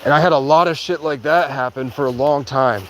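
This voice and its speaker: A man's voice: medium pitch, a little hiss or hum. Sleep-deprived man rambling on a livestream. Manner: halting, restarts often, heavy use of "like". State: sleep-deprived after hours of talking. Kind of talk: rambling casual monologue. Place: outdoors in a rural area.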